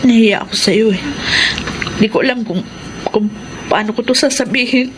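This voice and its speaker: An actress playing a contrite, earnest wife in a Filipino radio drama, speaking with a Filipino accent. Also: female voice, a little high, normal speed, noisy background, lightly acted. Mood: ashamed, apologetic. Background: dramatic background music.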